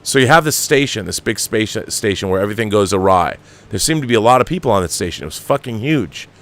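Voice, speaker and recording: Emphatic American man, lowish voice. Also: animated, fairly bright tone. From United States. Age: 50s. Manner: exasperated, emphatic, halting repeats for emphasis. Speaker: host of a film commentary show. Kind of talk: opinionated film commentary, reading viewer questions.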